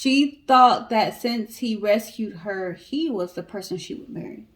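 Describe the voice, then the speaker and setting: Female co-host with a medium-pitched voice, reading a story aloud informally. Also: measured pace. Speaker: co-host of a story guessing show. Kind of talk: casual read-aloud storytelling.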